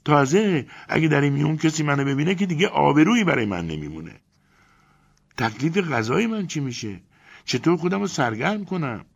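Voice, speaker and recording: A man reading aloud and voicing a quick-tempered young wife who shrieks and complains in indignant bursts. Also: mid-range voice, lively intonation, husky, voice pushed up. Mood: shrieking. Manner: shrieking, exasperated, rapid complaints. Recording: dull lo-fi recording.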